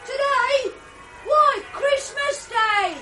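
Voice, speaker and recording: A woman's voice: very high voice, extremely slow, over music, heavily acted. Piping, cheeky English street boy. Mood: cheeky, surprised. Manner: bright, cheeky, calling out. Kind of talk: 1960s British radio drama acting.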